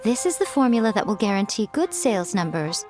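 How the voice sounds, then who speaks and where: A woman voicing a poised, sly fox-spirit publisher in a video game, teasing and self-assured in a measured way. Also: medium pitch, sing-song, music in the background, natural voice. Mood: instructive, assured.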